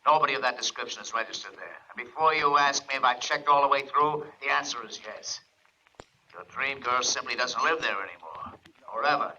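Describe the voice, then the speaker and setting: Sharp, sarcastic cop on the phone. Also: male voice, mid-range voice, expressive, brisk, a little hiss or hum. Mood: sarcastic, impatient. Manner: brusque, sarcastic. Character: sarcastic, impatient police lieutenant on the telephone. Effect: telephone filter. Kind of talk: 1940s radio detective drama acting. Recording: muffled radio transcription, tinny phone filter.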